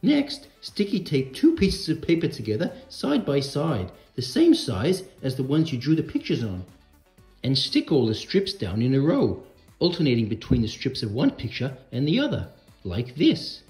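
A man's voice: mid-range voice, wildly swooping pitch, normal speed, a little mellow, roomy sound, soft background music. A man voicing a cheerful, boastful stuffed bear who presents crafts for children in a puppet show. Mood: explaining.

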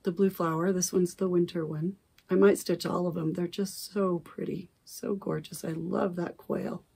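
A woman's voice: on the low side, fairly even intonation, normal speed, creaky, warm tone, breathy edge. A middle-aged woman vlogging casually from her craft room, rambling and correcting herself, her voice still recovering after she lost it. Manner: rambling, self-correcting, chatty. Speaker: cross-stitch vlogger. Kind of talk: casual chat. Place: home craft room, indoors. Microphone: camera at desk distance.

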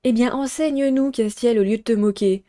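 A woman's voice: a little high, a little fast, warm tone. A young woman playing a visual novel, reading dialogue aloud and commenting in a playful, teasing way. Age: young adult.

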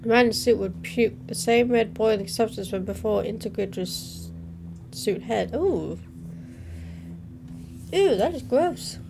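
A woman's voice: on the high side, lively intonation, measured pace, vocal fry. A young adult woman giving casual reaction commentary, reading captions aloud and interjecting informally between them. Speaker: reaction video host. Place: small room at home, indoors. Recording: noticeable background noise.

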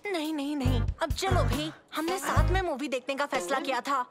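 Woman as preteen cartoon boy, high-pitched, principled, firm, reasoning. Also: moderate pace, loud music behind the voice, lightly acted. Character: principled, sensible twelve-year-old boy. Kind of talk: animated cartoon dub.

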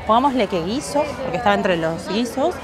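A young adult woman with an Argentine Spanish accent, lively and casual, rating and recommending food as a travel vlogger. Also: mid-range voice, fairly quick. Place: outdoors on city streets and cafés. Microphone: handheld selfie camera. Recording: loud crowd and street noise.

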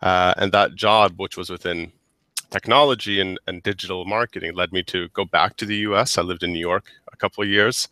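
Deep-voiced man on a headset call. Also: fairly even intonation, brisk, sharp tone, no room sound, clean studio sound. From United States. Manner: many restarts and repeated words. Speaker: guest. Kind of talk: casual interview chat. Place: small office with whiteboard. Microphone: headset mic on a video call.

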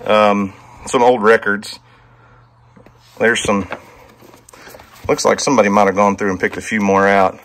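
A man's voice: on the low side, little pitch movement, a little slow, pauses often, a bit bright, slight background noise. A man, giving a casual, rambling walkthrough to viewers in a folksy way. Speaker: homestead vlogger, host. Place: outdoors in a yard, then inside a cluttered empty house. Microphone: handheld camera at arm's length.

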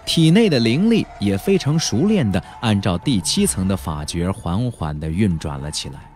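A man narrating an audiobook as an expressive storyteller, with a colloquial, dramatic delivery. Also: mid-range voice.

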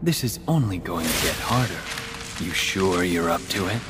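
A man's voice: low-pitched, lively intonation, normal speed, music in the background. A man voicing a brooding, poetic young demon summoner, languid, sardonic and theatrical, in a video game. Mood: sardonic taunting. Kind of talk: video game action taunts. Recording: noisy game audio with ambience.

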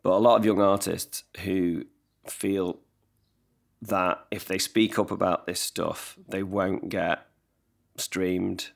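A man's voice: sits low, fairly even intonation, slow, vocal fry. A man in his forties, a songwriter guest on a video call, talking at length with many restarts and self-deprecating asides, at a desk mic in his living room. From England. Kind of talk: casual podcast conversation. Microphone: desk mic on stand, video call.

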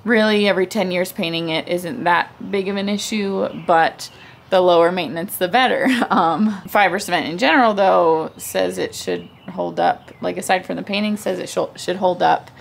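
A woman's voice: lowish voice, normal speed, creaky. Chatty young woman vlogging on porch. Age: young adult. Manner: chatty, rambling, thinking aloud. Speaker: family vlogger. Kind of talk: casual vlog talk to camera. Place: front porch outdoors. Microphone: handheld camera close up.

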